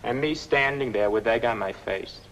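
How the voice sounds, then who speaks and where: In a reverberant, slightly noisy old film recording, a man plays a put-upon, cocky truck driver. His mid-pitched voice is argumentative, aggrieved and full of working-class colloquial phrasing. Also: moderate pace, fairly bright tone, lightly acted. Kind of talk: 1950s film comic character acting.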